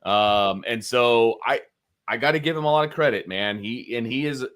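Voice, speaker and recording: A man's voice: mid-range voice, normal speed, a bit bright. A man casually talking football as a sports show co-host, opinionated and conversational, repeating phrases for emphasis.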